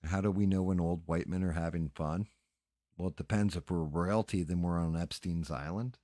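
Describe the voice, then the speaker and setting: A man's voice: sits low, fairly even intonation, normal speed, dark tone, no room sound, clean studio sound. A middle-aged man chatting casually as a livestream host, crude and joking in a rambling, sweary way.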